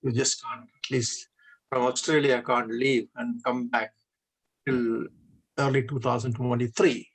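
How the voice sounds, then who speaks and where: Interview guest: man in his 70s from India, native Hindi speaker, gravelly mid-range voice, deliberate and hesitant delivery. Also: mid-range voice, level tone, low-quality recording. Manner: deliberate, with frequent hesitation fillers. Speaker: guest, retired engineer. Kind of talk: measured conversational interview answers.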